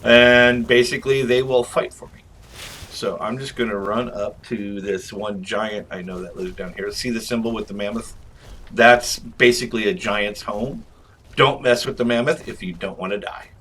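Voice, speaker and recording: A man's voice: on the low side, steady tone, moderate pace, a bit bright, a little hiss or hum. A man giving casual, jokey, rambling video game review commentary.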